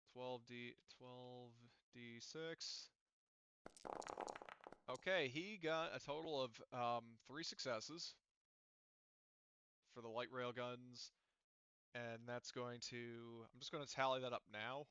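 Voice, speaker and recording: A man acting as game master in an online tabletop session, talking haltingly as he thinks aloud through rules and dice tallies. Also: medium pitch, bright, forceful tone, clean tone, no room sound, muffled recording.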